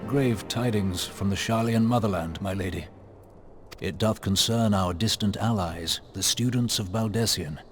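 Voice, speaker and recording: A male actor as a solemn scholar of a fantasy realm, ceremonious and deferential. His voice is on the low side and his wording is ornate and archaic. Clear music runs behind him. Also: normal speed, lightly acted. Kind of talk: video game cutscene acting.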